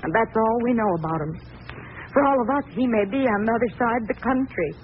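A woman's voice: fairly high pitch, fast talker, lightly acted. A woman acting as a worried country mother. She sounds plaintive and fretful, in the style of an old radio drama, over a tinny recording with faint noise. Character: anxious, pious country farm wife and mother.

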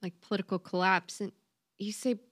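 A woman's voice: medium pitch, little pitch movement, fairly quick, fairly bright tone, no echo. Female interview host, mid-range voice, conversational questioner, dry close sound.

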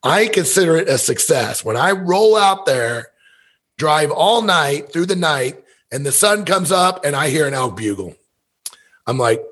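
A man's voice: on the high side, a bit bright, slightly rough. An American man in his fifties from Missouri, telling stories in a candid, folksy, rambling way as a podcast guest. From Missouri, USA. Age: 50s. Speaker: podcast guest, elk hunter and business owner. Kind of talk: casual conversational storytelling.

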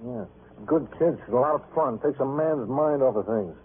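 An American actor playing a bitter, evasive, tired night-shift worker, speaking in short sardonic phrases in old-time radio drama style, with a husky voice. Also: male voice, mid-range voice, moderate pace, slight background noise, natural voice. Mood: bitter, weary. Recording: tinny, band-limited vintage radio recording.

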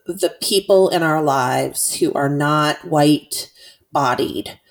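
A woman with a low, unhurried voice co-hosts a podcast while congested, her words coming through her nose. She is reflective and halting, often starting a phrase again before finishing it. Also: vocal fry. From Tennessee. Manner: warm, reflective, halting with restarts. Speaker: podcast co-host. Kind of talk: casual podcast chat.

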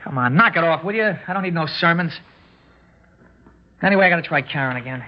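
Brusque young stickup man played by an actor, higher-pitched. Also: lively intonation, quick pace, lightly acted. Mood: defensive. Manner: brusque, slangy tough-guy talk. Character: cocky, uneducated young male small-time stickup man. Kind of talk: radio drama acting. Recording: dull old radio recording.

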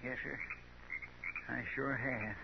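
Folksy drawling Western deputy. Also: male voice, medium pitch, steady tone, slow, vocal fry. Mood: grim. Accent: rural American. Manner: drawling, plain-spoken, deferential. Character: folksy, deferential, grumbling deputy to a marshal. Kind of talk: 1950s radio Western acting. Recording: muffled, very noisy old radio recording.

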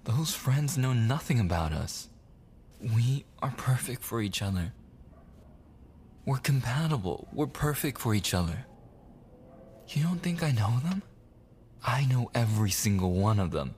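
A man playing a possessive, obsessively jealous boyfriend in an intimate ASMR audio roleplay, pleading and menacing in halting, soft speech that slips into whispers. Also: medium pitch, very animated, slight background noise. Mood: intense, intimate, then agitated, suspicious. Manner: pleading, halting and repetitive, slipping into breathy whispers.

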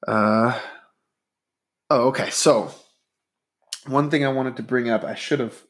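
A man's voice: medium pitch, slow pace, a bit bright. A man hosting a solo sports podcast, talking in a rambling, conversational way with a stuffy nose. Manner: conversational, rambling, data-minded. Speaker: podcast host.

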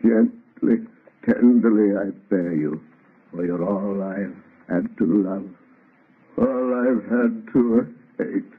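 A man's voice: fairly low pitch, slow pace, husky. An actor playing a sorrowful, tormented man-made monster with solemn, declamatory phrasing in an old radio drama. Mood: solemn, mournful. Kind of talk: 1930s radio drama acting. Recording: muffled, tinny old radio recording.

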